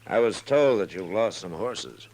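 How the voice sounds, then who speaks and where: An actor playing a stern, laconic frontier marshal in a measured 1950s radio western style. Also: male voice, on the low side, expressive, darkish tone, poor audio. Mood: matter-of-fact. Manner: measured, understated, firm. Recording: old radio drama, murmuring crowd behind.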